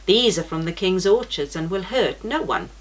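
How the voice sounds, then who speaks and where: A woman's voice: fairly low pitch, expressive, moderate pace, rough recording. A woman voicing a hospitable, kindly man who takes in travellers, reassuring his guests in a read-aloud storybook. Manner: warm, reassuring. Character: hospitable male innkeeper host.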